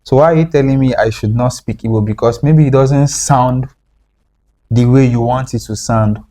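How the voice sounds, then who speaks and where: A young man, talking casually as a writer guest, relaxed and repeating himself as he explains. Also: mid-range voice, normal speed. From Nigeria. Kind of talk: casual conversation.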